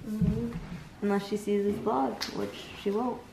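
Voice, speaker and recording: A woman's voice: mid-range voice, fairly even intonation, measured pace, creaky, background noise. A young woman vlogger chatting playfully at home. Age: young adult. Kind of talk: casual vlog chat. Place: home, indoors.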